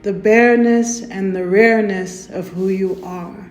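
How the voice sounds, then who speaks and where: A woman leading a guided meditation in a gentle, soothing, unhurried way, softly inviting the listener to breathe. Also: medium pitch, steady tone, highs cut off. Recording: roomy echo with faint music.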